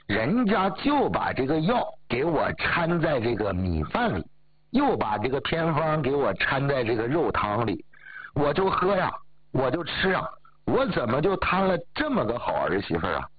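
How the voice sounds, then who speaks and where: A man voicing a proud, grateful old village grandmother who brags about her daughter-in-law, his voice husky. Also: mid-range voice, no echo, pitched above the performer's own voice. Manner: boastful, exclaiming, drawn-out sentence endings. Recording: tinny phone-like audio.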